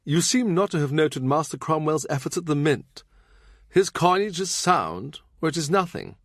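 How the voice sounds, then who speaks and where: A man reading an audiobook voices a smirking high-ranking chancellor with a raised, mocking delivery. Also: sing-song, moderate pace, fairly bright tone, no room sound, studio quality.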